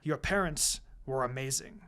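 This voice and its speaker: A reader plays a kindly old grandfather and village elder in a fan fiction story. He speaks in a measured, solemn and gentle way, offering calm reassurance. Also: medium pitch, pitched below the performer's own voice, close to an everyday voice. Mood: solemn, reassuring.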